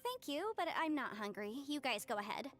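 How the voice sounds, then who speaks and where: A young female adviser for a video game. Her voice is high, articulate and courteous, with faint music behind it. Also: sing-song, fairly quick, a bit bright. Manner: polite, articulate.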